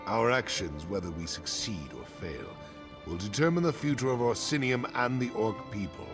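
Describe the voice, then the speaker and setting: An actor voicing a grave, resolute orc clan chief in a fantasy game, speaking in a solemn, measured, declamatory way over music. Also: male voice, on the low side, lively intonation, lightly acted. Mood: grave, urgent. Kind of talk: dramatic fantasy game dialogue.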